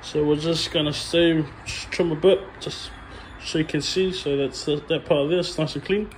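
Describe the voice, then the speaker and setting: A young man, a barber, talking through a haircut tutorial in a relaxed, plain way with frequent ums. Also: fairly high pitch, moderate pace, a little hiss or hum, low-quality recording.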